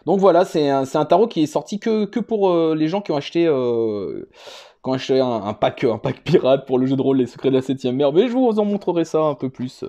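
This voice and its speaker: A man's voice: fairly high pitch, lively intonation, brisk, warm tone, highs cut off. A man giving a casual, unscripted show-and-tell as a vlogger, speaking hesitantly and rambling, often repeating himself. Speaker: vlogger presenting a card collection.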